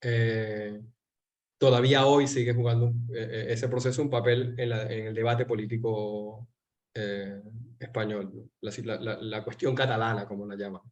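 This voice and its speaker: A Venezuelan man hosting a political interview, talking in a measured way and pausing to search for words. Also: fairly low pitch, level tone, a little mellow. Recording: dull lo-fi sound.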